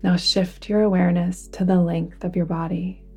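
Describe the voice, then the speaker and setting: A woman guiding a relaxation meditation in a soothing, deliberate manner, with phrases that sometimes fall to a hush. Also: on the low side, level tone, slightly rough, soft background music. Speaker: yoga nidra guide. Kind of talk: guided relaxation meditation narration.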